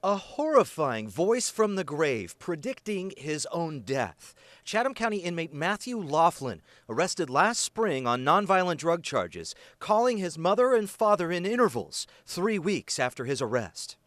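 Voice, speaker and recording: An American man reporting investigative news in a scripted, emphatic and measured broadcast delivery. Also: on the high side, very animated, a bit bright, no room sound.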